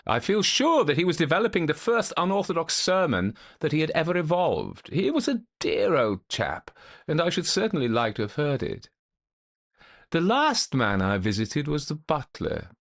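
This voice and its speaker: A man playing a gentlemanly, methodical investigator of hauntings, recounting his case in a formal, old-fashioned storytelling manner. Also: a little high, huge pitch swings, moderate pace, creaky, full character voice. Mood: animated recounting. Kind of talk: dramatic first-person storytelling, old-fashioned ghost story.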